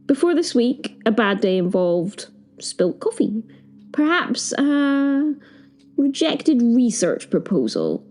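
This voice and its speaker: Woman as Eleanor Rigby, wry narrator with lively intonation. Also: mid-range voice. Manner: wry, understated, digressive. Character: Eleanor Rigby, flustered, dry-witted woman, reluctant caretaker of Hell. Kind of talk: first-person audio drama narration.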